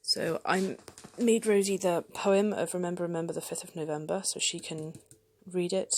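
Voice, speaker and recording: A woman's voice: fairly low pitch, fairly even intonation, moderate pace. A young British woman talking in a casual vlog style, rambling a little and hesitating as she goes. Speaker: homeschooling mother and vlogger.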